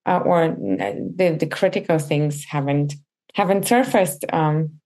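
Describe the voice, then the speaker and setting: A woman's voice: deep voice, measured pace, darkish tone. A woman hosting an interview podcast, thoughtful and asking probing questions. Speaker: podcast host. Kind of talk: casual interview conversation.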